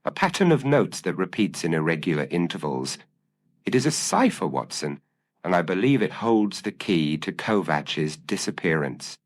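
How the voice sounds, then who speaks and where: A man's voice: low voice, big pitch swings, moderate pace. A man voicing a brilliant, intense Victorian detective in an audiobook, speaking in a precise, commanding and formal way. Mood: grave, intent.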